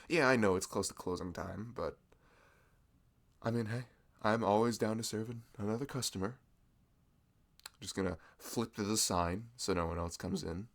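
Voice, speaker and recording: A young man doing a chatty audio roleplay as a friendly shopkeeper, laughing often between lines. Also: lowish voice. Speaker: ASMR roleplay creator.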